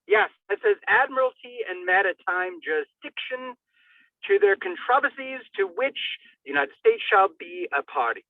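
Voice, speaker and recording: A man's voice: extremely high pitch, sing-song, moderate pace, creaky, no echo. A man giving a hammy, theatrical dramatic reading, stumbling over words and dropping in comic asides. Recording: thin phone-like band-limited sound.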